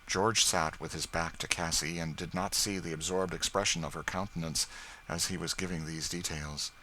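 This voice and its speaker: A man reading scripted literary narration as an audiobook narrator, in a measured storytelling manner. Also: sits low, fairly even intonation, bright, forceful tone, a little hiss or hum, low-quality recording.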